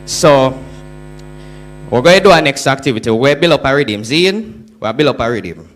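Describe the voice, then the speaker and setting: A young Jamaican man giving a lively presentation to an audience, often checking in with "right?". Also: medium pitch, normal speed. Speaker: student presenter.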